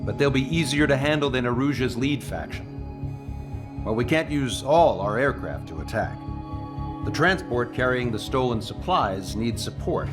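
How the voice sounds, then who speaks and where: An actor as a level-headed military squadron leader. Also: male voice, medium pitch, lively intonation, measured pace, over music, lightly acted. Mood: businesslike. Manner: matter-of-fact, commanding. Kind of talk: game cutscene briefing dialogue.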